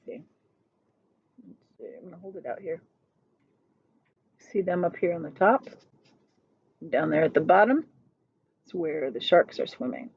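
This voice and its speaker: A woman's voice: lowish voice, expressive, measured pace, gravelly, pauses often, slightly rough, lo-fi sound. A woman reading a children's book aloud as a teacher would, expressively, adding explanations for her listeners.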